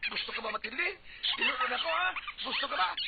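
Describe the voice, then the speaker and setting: High-pitched, touchy Hugo. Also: male voice, wildly swooping pitch, fairly quick, full character voice. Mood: indignant, outraged. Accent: Cebuano. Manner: melodramatic, whining, repeating himself. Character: Hugo, touchy, wounded, hot-tempered husband and election candidate. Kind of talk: comic Bisaya radio drama acting. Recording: noisy, dull lo-fi radio recording.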